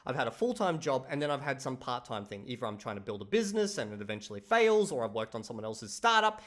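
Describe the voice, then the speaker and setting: Animated swearing man vlogging. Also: mid-range voice, fast talker. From Australia. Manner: animated, swears freely, says "bro" and "okay?" often. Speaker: language-learning YouTuber. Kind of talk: casual talking-to-camera vlog.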